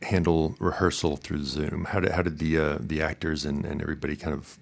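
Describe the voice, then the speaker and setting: A man with a deep, low voice hosts an interview podcast. He speaks conversationally and a little haltingly, circling through his questions as he asks them. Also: flat delivery, gravelly.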